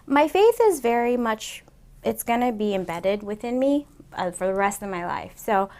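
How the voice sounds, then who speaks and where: A woman's voice: on the high side, animated, normal speed, darkish tone. A woman in her late thirties from Seattle, a comedian guest chatting casually in an interview, rambling with lots of fillers. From Seattle, Washington, USA. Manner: rambling, chatty, many "like" and "you know" fillers.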